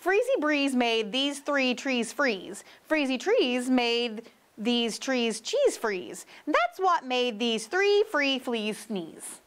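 A woman reads as a playful storybook fox. The voice is high and swings widely in pitch, coaxing and insistent as it delivers tongue-twisting patter. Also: very animated, slow pace, a bit bright, exaggerated voice.

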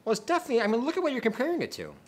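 A man in his thirties co-hosting a beer tasting show with animated, informal banter. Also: very high-pitched, racing. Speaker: beer review show co-host. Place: small indoor set with curtain backdrop, seated.

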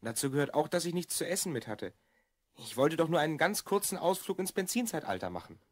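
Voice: medium pitch, sing-song, quick pace, no echo, studio quality. A performer playing a secretive boy of twelve from the future in an audio drama, explaining things confidingly. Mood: grateful, explaining. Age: child character, twelve. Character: Rhonn, secretive stranded boy time traveller from the future. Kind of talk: German audio drama acting.

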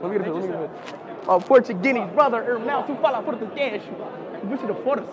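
A young man with an American accent, talking loud and hyped in slangy casual chat, repeating himself. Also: extremely high pitch, rapid-fire. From United States. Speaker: streamer. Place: crowded indoor gala hall. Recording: loud crowd noise around. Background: crowd chatter.

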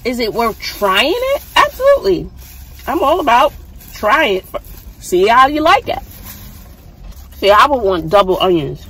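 A woman's voice: a little high, sing-song, a little slow, pauses often, bright, forceful tone, husky, low-quality recording. A middle-aged woman hosting a casual food review vlog from inside a parked car, chatting, explaining and asking follow-up questions into a dashboard phone camera. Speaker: vlog host, mother. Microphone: phone camera mounted on the dashboard.

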